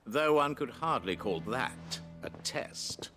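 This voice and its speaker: An actor playing a coolly appraising lord in a video game, gravelly, with a formal, wry and authoritative manner. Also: male voice, mid-range voice, expressive, takes it slowly, pushed and bright, faint music behind. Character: haughty, coolly appraising lord in command of henchmen. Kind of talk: dramatic video game acting.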